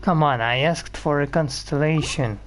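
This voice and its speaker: A man's voice: on the high side, normal speed, slight background noise. A man giving casual game commentary as a streamer, blunt, profane and grumbling. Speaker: gaming streamer.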